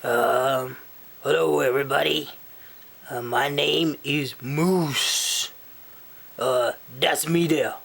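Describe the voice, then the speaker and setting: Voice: medium pitch, steady tone, slow, gravelly, fairly bright tone, voice pushed down, exaggerated voice. A person voicing a friendly, dim, rambling male storybook moose with a hesitant, folksy manner. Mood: shy, friendly. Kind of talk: in-character picture book retelling to children.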